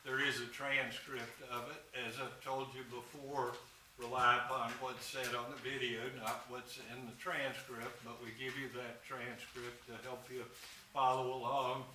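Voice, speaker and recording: A man addresses a jury as judge, folksy and even-toned. He is picked up from a distance in an echoey courtroom. Steady noise hangs under the voice. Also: mid-range voice, moderate pace. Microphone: far room mic.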